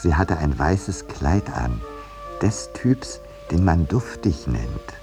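An actor playing a courteous, wry landscape painter in a 1950s radio play, dry and ironic, with some hesitation. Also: male voice, very deep voice, very animated, slow, music in the background, heavily acted. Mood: wry narrating. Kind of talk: 1950s German radio play, narration and dialogue.

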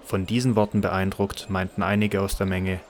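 A man's voice: low-pitched, flat delivery, moderate pace. A man reading scripted narration for a Bible audio drama.

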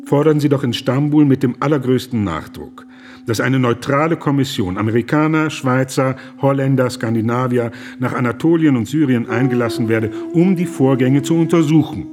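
A man in a radio drama playing an earnest German pastor who argues gravely, formally and with emphatic indignation. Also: mid-range voice, normal speed, a little mellow, natural voice. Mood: insistent, demanding.

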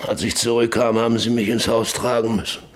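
An actor playing a middle-aged farmer and father, troubled and defensive, with a halting, brooding delivery in a German radio play. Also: mid-range voice, flat delivery, lightly acted. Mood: brooding, weary. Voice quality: gravelly, husky.

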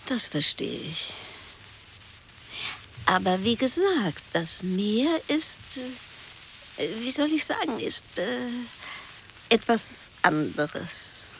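Posh polite nosy lady, slow talker, bit of hiss, absurd radio play. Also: fairly high pitch, sing-song, a bit bright, heavily acted, rough recording. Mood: polite curiosity. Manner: hesitant, mannered politeness. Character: genteel, politely inquisitive woman making small talk. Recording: hissy radio play recording.

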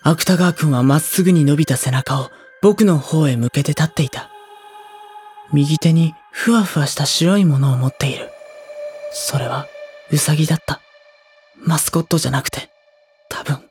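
A woman voicing a gentle, introspective, anguished teenage schoolboy in a Japanese audio drama, speaking softly and hesitantly as he narrates. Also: sits low, moderate pace, long pauses, faint music behind, natural voice. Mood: stunned, dread. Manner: soft-spoken, hesitant, brooding. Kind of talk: Japanese audio drama acting with first-person monologue.

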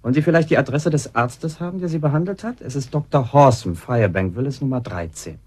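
A smug swindler posing as a respectable householder in a radio play. His husky voice swings widely in pitch. He is mock-polite and sardonic, wrapping every taunt in theatrical courtesy. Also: lively intonation, fast, darkish tone. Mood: smugly triumphant. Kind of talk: German radio drama acting.

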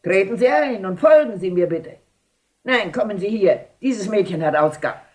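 A woman playing a businesslike, knowing hostess of a lodging house in a German radio drama, brisk and smoothly insinuating. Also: mid-range voice, animated, a little mellow, natural voice.

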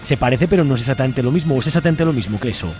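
A man's voice: medium pitch, animated, rapid-fire, a little mellow, faint music behind. A man with a Spanish accent from Spain, hosting a casual radio interview in a colloquial, jokey way. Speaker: radio show host.

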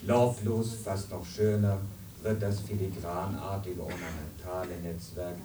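An actor playing a learned lecturer who speaks in a florid, solemn, declamatory way in a radio drama. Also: male voice, low-pitched, steady tone, unhurried. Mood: solemn, rapt. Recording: echoey room, distant microphone, faint noise.

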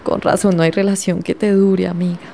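A woman's voice: lowish voice, little pitch movement, brisk, creaky, dark tone, slight background noise. A woman with a Colombian accent playing a vain, catty, gushing fashion queen in a radio soap.

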